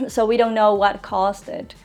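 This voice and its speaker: A woman's voice: mid-range voice, little pitch movement, slow pace, a little mellow. Ecuadorian woman chatting over background music. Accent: Ecuadorian Spanish. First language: Spanish. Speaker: interviewee, cat owner. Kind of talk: conversational interview.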